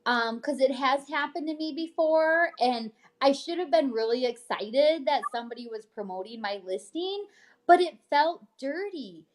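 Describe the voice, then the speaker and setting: High-voiced woman co-hosting a casual chat. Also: lively intonation, normal speed. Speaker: co-host, realtor reading a newsletter. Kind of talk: casual chat while reading news items.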